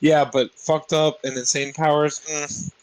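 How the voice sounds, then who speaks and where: A man's voice: fairly high pitch, little pitch movement, measured pace, dry recording, rough recording. A man chatting casually as a player in a tabletop roleplaying game. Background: faint music.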